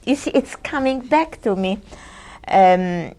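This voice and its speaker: This is a Polish-born woman in her sixties recorded on an old 1980s cassette, giving testimony. Her voice is gravelly, and her speech is halting and searching, full of ums and restarts. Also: mid-range voice, animated, darkish tone. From Poland. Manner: hesitant, searching for words with many ums and restarts. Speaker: interviewee. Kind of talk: oral history testimony. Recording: 1980s audio cassette interview.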